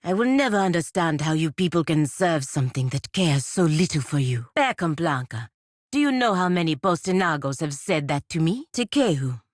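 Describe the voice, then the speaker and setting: Low-voiced, proud warrior woman. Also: very animated, no echo, studio quality. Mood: bitter scorn. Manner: formal, measured, curt and disdainful. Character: proud, stern feathered godlike warrior woman, sharp-tongued and dutiful. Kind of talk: scripted fantasy video game dialogue.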